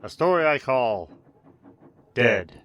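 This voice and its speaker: An actor playing a mysterious, sinister but courteous host, narrating radio horror in a measured, theatrical way. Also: male voice, mid-range voice, big pitch swings, a bit bright. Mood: ominous, inviting.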